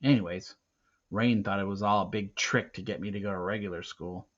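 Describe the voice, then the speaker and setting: Lower-pitched man narrating, slightly muffled. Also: fairly even intonation, brisk. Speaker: teacher reading a book aloud. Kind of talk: expressive first-person audiobook narration. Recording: slightly muffled, dull recording.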